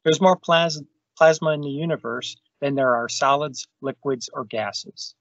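A man's voice: on the high side, unhurried, a bit bright, dry recording. A man reading a children's science book aloud over a video call. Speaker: storytime reader. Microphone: video call microphone. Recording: dull, lo-fi video call audio.

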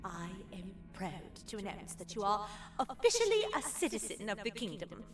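A woman playing a giant, gracious kangaroo queen in a video game, speaking in a formal, gentle, regal manner. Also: medium pitch, sing-song, normal speed, close to an everyday voice. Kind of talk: video game character acting. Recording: roomy, distant, under loud music.

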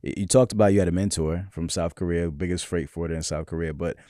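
A man with a very deep, mellow voice hosts the conversation, affirming and probing in a relaxed way. The sound is close and dry from a podcast mic on a warehouse studio set. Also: fast, no room sound. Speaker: podcast host. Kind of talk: interview questions. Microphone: boom-mounted podcast mic.

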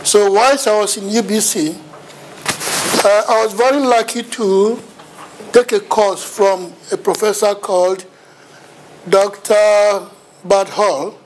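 A man's voice: sits high, fairly even intonation, slow pace, pauses often, a little hiss or hum, poor audio. A middle-aged man with a Ghanaian accent giving a seminar lecture in a lecture room, telling his story with self-mocking humour. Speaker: visiting university lecturer. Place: lecture room in front of a projection screen.

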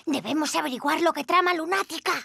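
A woman with a Castilian Spanish accent voicing a kind, sensible young boy lizard superhero in a cartoon, gentle and conciliatory. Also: high-pitched, quick pace, vocal fry, no room sound, clean studio sound, exaggerated voice. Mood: sensible, mild exasperation. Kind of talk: animated children's cartoon dubbing. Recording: music under the dialogue.

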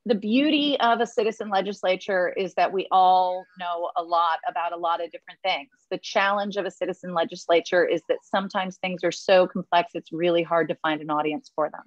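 A woman's voice: fairly low pitch, steady tone, a little fast, few pauses, lo-fi sound. A woman, a state representative, talking candidly in a virtual town hall, with lots of fillers. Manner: chatty, many fillers, candid. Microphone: video call.

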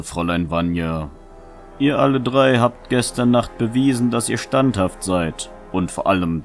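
An actor playing a seasoned knight in a fantasy audio drama, fatherly, formal and measured in manner. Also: low voice. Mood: gently chiding. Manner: measured, formal, gently admonishing.

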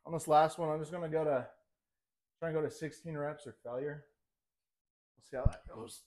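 A man's voice: on the high side, steady tone, brisk, a little mellow. A young man vlogging his workout in a home gym, rambling casually between sets and winded from lifting, picked up by a camera across the room. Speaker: fitness vlogger. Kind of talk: casual commentary during a workout.

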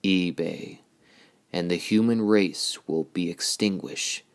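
A man performs a giant robot leader of alien warriors from an action movie. He is noble and solemn, declaiming in a lowish voice at a very slow, measured pace. Each phrase comes out grave and weighty. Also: vocal fry, heavily acted. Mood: grave, resolute. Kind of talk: movie monologue in amateur voice acting.

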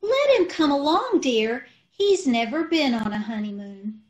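A woman's voice: sits high, big pitch swings, darkish tone. A woman playing a scatterbrained, breezy middle-aged wife with earnest, muddled logic in an old-time radio comedy. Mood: innocent, chatty. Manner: earnest malapropisms, unhurried.

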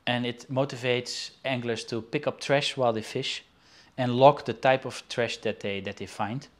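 A man in his forties from the Netherlands, talking in a casual interview from a shelf-lined room over a video call, pausing often with fillers and restarts. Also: medium pitch, steady tone. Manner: halting, with frequent fillers and restarts. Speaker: guest artist and angler. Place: indoor studio room with shelves.

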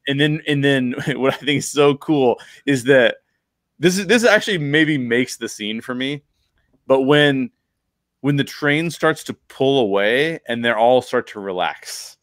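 A man's voice: medium pitch, a little slow, fairly bright tone, no echo. Podcast host: male, middle-aged, mid-range voice, emphatic conversational explainer, dry close mic. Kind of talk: casual film discussion. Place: small bedroom, indoors. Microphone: close podcast microphone with foam cover.

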